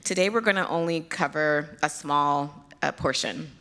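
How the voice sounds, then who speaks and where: Nigerian american lady speaking at a conference, low voice with some gravel, laughs a lot and chats with the crowd. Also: normal speed, fairly bright tone. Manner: chatty, self-deprecating, laughs often. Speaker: pediatric hematologist, keynote speaker. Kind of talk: conference talk. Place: conference hall stage.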